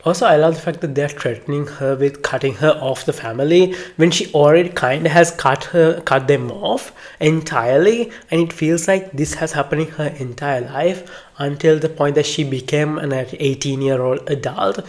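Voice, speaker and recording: A woman's voice: low-pitched, moderate pace, darkish tone. A woman talks as a podcast co-host in loose, everyday chat. She is blunt and opinionated. Her style is informal throughout, like talk between friends.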